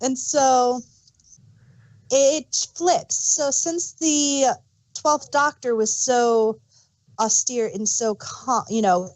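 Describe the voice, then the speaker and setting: A woman's voice: high voice, drawn-out words, rough recording. A woman co-hosting a casual fan discussion, rambling and searching for words as she gives emphatic examples. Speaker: podcast co-host.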